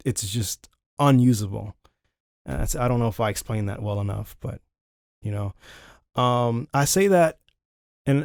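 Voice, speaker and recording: A man's voice: mid-range voice, a little mellow, no room sound. A man giving a casual, chatty product review, talking informally to viewers on a close studio condenser microphone in a home studio. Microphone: close large-diaphragm studio condenser microphone.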